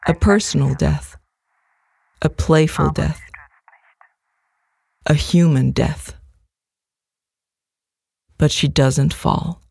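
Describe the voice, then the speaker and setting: A man reciting a literary text in a grave, measured manner. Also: on the high side, steady tone, gravelly, darkish tone, breathy edge, no room sound.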